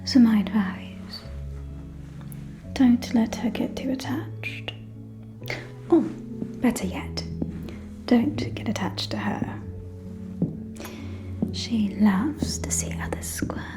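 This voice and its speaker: Casting: woman to play an arch noblewoman vampire, gossipy and conspiratorial, slow whispered ASMR delivery. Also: on the high side, over music. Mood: catty, conspiratorial. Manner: arch, conspiratorial, drawn-out, with sighs and soft chuckles. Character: catty, gossiping aristocratic lady vampire at a ball.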